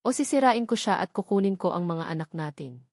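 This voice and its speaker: A woman voicing a cold, arrogant man who makes threats over the phone, in the same even tone she narrates with. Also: medium pitch, brisk, no echo, clean studio sound, lightly acted. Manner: cold, smug, menacing.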